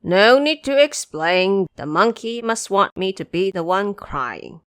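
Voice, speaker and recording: A woman's voice: medium pitch, very animated, a little slow, a bit bright, smooth, dry recording, studio quality. A woman doing a whiny, joking pig disciple. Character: lazy greedy male pig disciple.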